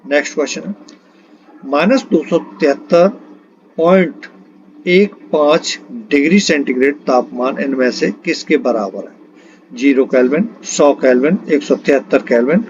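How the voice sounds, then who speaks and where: Male exam tutor, slightly high voice, reading quiz questions and answers, muffled budget-mic sound. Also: animated, normal speed, a little mellow. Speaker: exam-prep physics teacher. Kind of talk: lesson reading out multiple-choice questions and answers.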